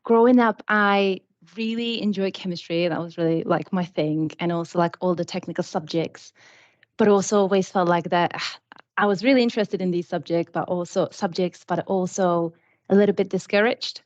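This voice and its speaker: A chatty woman in her twenties from the Czech Republic, a native Czech speaker, tells her story with quick asides. Her voice sits a touch low. The line is dull and lo-fi. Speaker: panel guest, product systems engineer. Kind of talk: casual panel talk. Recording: dull lo-fi sound.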